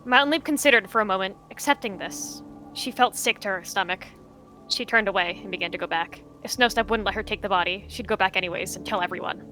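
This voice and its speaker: A woman narrating a dramatic roleplay story in an expressive, measured storytelling manner. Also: mid-range voice, faint music behind, rough recording.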